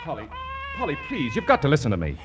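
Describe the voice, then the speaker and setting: An actor playing an earnest, flustered young man, excitable and pleading, in 1940s radio romantic comedy. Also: mid-range voice, fast, soft background music. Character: earnest, flustered young suitor and exasperated son.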